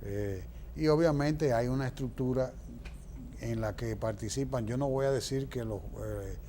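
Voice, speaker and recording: A man's voice: medium pitch, normal speed, warm tone, a little hiss or hum. A man with a Dominican Spanish accent giving opinionated, explanatory political answers as an interview guest, emphatic and fond of rhetorical questions.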